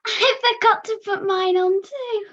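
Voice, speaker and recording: Girl doing a flustered chirpy puppet, really high and bouncy, muffled video call sound. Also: a little slow, a bit bright. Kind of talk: comedy puppet show acting.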